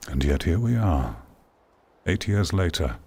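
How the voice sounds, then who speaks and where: A man giving reflective video game commentary in a measured, theatrical way with deliberate pauses. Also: deep voice, sing-song, a little mellow, slightly rough. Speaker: video game critic and content creator. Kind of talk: reflective scripted-sounding video essay commentary.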